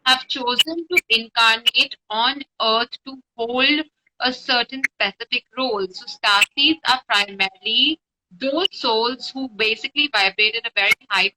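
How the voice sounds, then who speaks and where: A woman spiritual guide talking informally and explaining things on a live stream, recorded on a phone with faint noise. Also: on the high side. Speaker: spiritual guide and meditation teacher.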